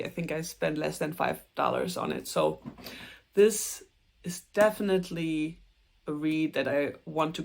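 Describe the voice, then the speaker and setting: A young woman with a German accent, chatting earnestly to camera about books she plans to read, with a few soft, self-conscious laughs. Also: lowish voice. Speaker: booktuber. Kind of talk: casual book talk to camera.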